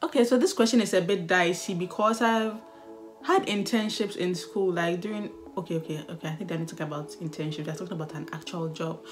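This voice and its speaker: A woman's voice: on the low side, fairly quick. A young woman with a Ghanaian accent, chatting casually and animatedly as a vlogger, with laughs and sighs. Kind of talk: casual chat.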